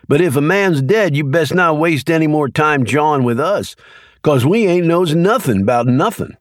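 A man's voice: a little high, expressive, moderate pace, dry recording, studio quality, pitched above the performer's own voice, natural voice. A man reading an audiobook, voicing a wisecracking man in his fifties with a folksy drawl. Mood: defiant. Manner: folksy drawling dialect, sly and joking. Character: older country man, wisecracking, easygoing, stubborn. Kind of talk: audiobook character voice.

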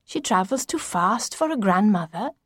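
A woman's voice: medium pitch, animated, moderate pace, fairly bright tone, husky, no room sound, studio quality. A woman voicing a puzzled, dutiful nine-year-old farm boy in an audiobook. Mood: muttering, curious.

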